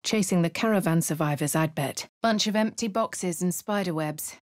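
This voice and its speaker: Brief: posh English adventurer heroine, played by a woman, dry and crisp, a touch low, in a dry studio booth. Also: normal speed, no room sound, lightly acted. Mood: wry. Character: posh, plucky female adventurer hero. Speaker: player character. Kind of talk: video game voice acting.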